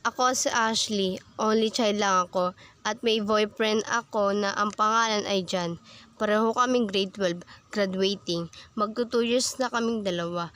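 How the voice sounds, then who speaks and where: A woman playing a lovestruck, defiant high school daughter in an amateur student radio drama. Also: a little slow, fairly bright tone, close to an everyday voice. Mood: narrating. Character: lovestruck, defiant twelfth-grade daughter telling her story.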